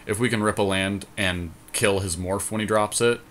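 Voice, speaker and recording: A man's voice: lowish voice, fairly even intonation, normal speed, a bit bright, a little hiss or hum. A man playing a card game as a podcast co-host, informally narrating his moves aloud in casual commentary.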